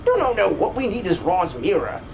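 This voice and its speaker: A man's voice: sits high, big pitch swings, fairly quick, vocal fry, slight background noise, full character voice. A man voicing a pompous, gluttonous old soldier with theatrical, old-fashioned exclamations in a video game fan dub. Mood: indignant, alarmed. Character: blustery gluttonous old bearded soldier, paranoid about spies.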